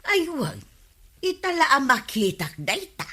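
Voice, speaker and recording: Slow, gossipy Ilocano neighbor. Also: female voice, high voice, big pitch swings, bright, forceful tone, heavily acted. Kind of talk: Ilocano radio comedy drama acting.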